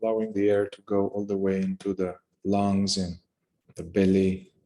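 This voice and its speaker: Calm guy w a lowish voice leading guided meditation, takes his time, short phrases and pauses. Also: low-quality recording. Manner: calm, unhurried, pausing between short phrases. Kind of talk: guided meditation, unscripted instruction.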